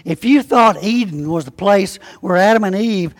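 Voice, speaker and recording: A man from Alabama with a Southern accent, preaching a sermon in a folksy, conversational way and slowing down to stress key words. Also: sits high, expressive. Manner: folksy, conversational preaching with slow emphatic spelling-out. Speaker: pastor. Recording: long hall reverb.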